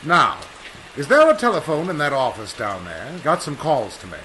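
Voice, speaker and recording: A man's voice: a little high, huge pitch swings, moderate pace, bright, forceful tone, a little hiss or hum, heavily acted, poor audio. A man playing a proud, curt aviator in old-time radio style, with formal, old-fashioned diction. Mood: brisk. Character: proud male aviator home from three lost years, curt, formal. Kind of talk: 1940s radio acting.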